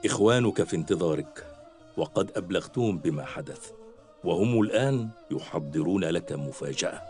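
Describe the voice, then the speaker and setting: A pious, proud father in a children's cartoon. His voice is deep, with a warm, reassuring and formal delivery over faint music. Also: lively intonation, fairly quick. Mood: proud, kindly. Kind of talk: cartoon dialogue in formal Arabic.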